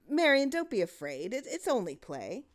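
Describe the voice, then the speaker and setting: A woman's voice: fairly high pitch, very animated, moderate pace, pitched above the performer's own voice. A woman voicing a frightened mother in a horror story, stammering and gasping as she moves from anxiety toward hysteria. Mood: anxious, pleading. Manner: stammering, gasping, repeating words. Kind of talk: dramatic horror story reading.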